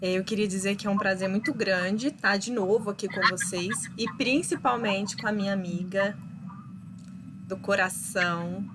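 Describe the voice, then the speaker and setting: A woman hosting a live online chat, explaining things slowly and thinking aloud with fillers. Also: medium pitch, fairly even intonation, noisy background. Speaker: host. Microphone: video call.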